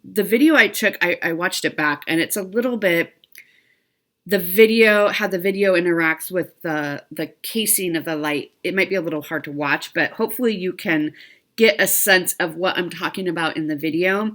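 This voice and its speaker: Middle-aged woman, slightly stuffy nose, low-ish voice, rambling friendly chat, lots of ums. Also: moderate pace, fairly bright tone. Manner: chatty, rambling, with fillers and small laughs. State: stuffy from allergies. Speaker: cross stitch vlogger. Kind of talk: casual chat to viewers.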